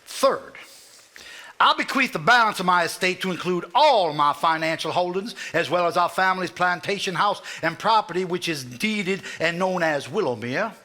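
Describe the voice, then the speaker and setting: Man acting formal Southern lawyer, high bright voice. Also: animated. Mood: solemn, formal. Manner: formal, measured, declamatory. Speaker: stage actor. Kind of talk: live stage drama, formally reading a will aloud.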